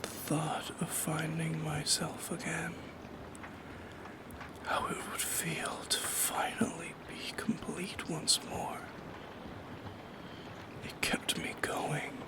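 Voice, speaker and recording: A man voicing a lonely, gently eerie shadow creature in an ASMR roleplay, in a breathy, hushed whisper with poetic, lingering phrasing. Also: on the high side, monotone, slow pace, long pauses. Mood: melancholy, tender. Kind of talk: soft-spoken ASMR roleplay monologue.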